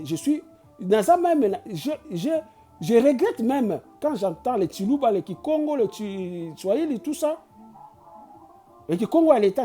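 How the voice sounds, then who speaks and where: Congolese-accented channel host, earnest sermon-like talk, warm dark timbre, soft music bed. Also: mid-range voice. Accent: Congolese French. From Kinshasa, Congo. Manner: preachy and urging, with frequent restarts and stammers. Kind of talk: impassioned address mixing Lingala and French.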